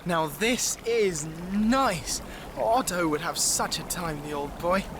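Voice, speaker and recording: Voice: mid-range voice, expressive, takes it slowly, background noise. An actor voicing a polite, fussy young marten, easily flustered and old-fashioned in phrasing, in an audio drama. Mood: contented. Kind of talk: audio drama character acting. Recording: loud music underneath.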